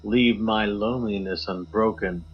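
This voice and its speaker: A man guesting on a podcast, reading a poem in a measured, low dramatic delivery over a video call. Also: muffled recording, noisy background.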